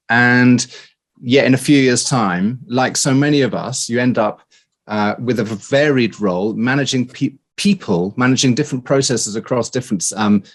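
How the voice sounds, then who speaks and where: A male interviewer asking loose, rambling questions with many restarts. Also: lowish voice. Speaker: interviewer, host. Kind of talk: casual interview chat.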